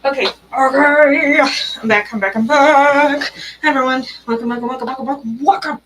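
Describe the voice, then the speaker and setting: A woman with a bright, ringing voice pitched a bit high chats casually through her tarot live stream. She talks in a bubbly, rambling way, full of little fillers, greeting viewers and thinking aloud. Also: normal speed, slight background noise, poor audio.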